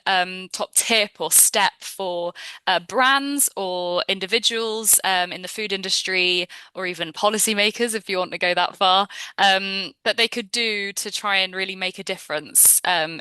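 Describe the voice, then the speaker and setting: A woman moderating a webinar panel, her voice bright and crisp. She sounds close and dry, and she frames questions hesitantly with frequent ums. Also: mid-range voice, no echo. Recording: webinar over video call.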